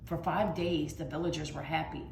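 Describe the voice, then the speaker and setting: Echoey storytelling woman, lower-pitched voice. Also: fairly even intonation, vocal fry. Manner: dramatic, with emphatic drawn-out words. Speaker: teacher reading a picture book aloud. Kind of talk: expressive children's storybook narration. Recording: roomy echo, faint background noise.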